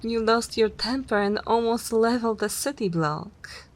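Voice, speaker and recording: A woman's voice: fairly high pitch, moderate pace, lightly acted. A woman playing a haughty, seductive vampire mistress in an ASMR roleplay, teasing and self-assured in a theatrical way. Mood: composed, scheming.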